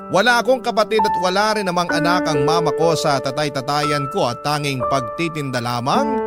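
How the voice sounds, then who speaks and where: A man's voice: on the high side, close to an everyday voice. A man playing a heartbroken young parent, narrating a painful breakup in an earnest, emotive storytelling way. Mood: earnest, wistful. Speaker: radio drama narrator. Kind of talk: first-person dramatic story narration in letter form. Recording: music bed under the voice. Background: background music.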